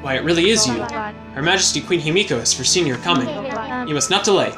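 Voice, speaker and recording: A man's voice: on the high side, lively intonation, fast talker, over music, natural voice. A man reading the lines of a polite young palace handmaiden from a video game aloud in his own plain voice. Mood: formal.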